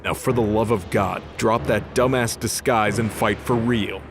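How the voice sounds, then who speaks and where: Man playing a low-voiced, cynical fallen angel fighter. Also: fairly even intonation, unhurried. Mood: sardonic. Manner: sarcastic, gruff, swearing. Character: cynical, sardonic disgraced male angel fighter. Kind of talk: audio drama acting. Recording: music under the voice.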